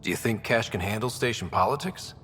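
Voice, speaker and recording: A man's voice: expressive, a little fast, a bit bright, soft background music. An actor playing an earnest, curious young male leader of explorers in a video game. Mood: earnest, inquiring. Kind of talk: video game character dialogue. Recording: long reverb, faint rumbling background noise.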